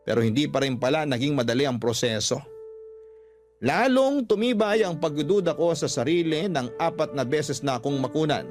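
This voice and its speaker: A man reading a first-person confessional letter as scripted radio-style storytelling. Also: fairly high pitch.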